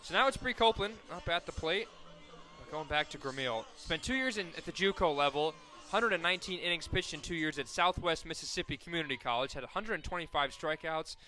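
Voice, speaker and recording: A young man calling softball play-by-play for college radio, correcting himself often and surging loud on big plays. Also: on the high side, fairly quick. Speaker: play-by-play announcer.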